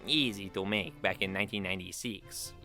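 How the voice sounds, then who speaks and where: A man voicing an excitable, boastful video game character in a scripted cartoon fan show. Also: sits low, brisk. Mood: eager, showy. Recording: music playing behind the voice. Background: music.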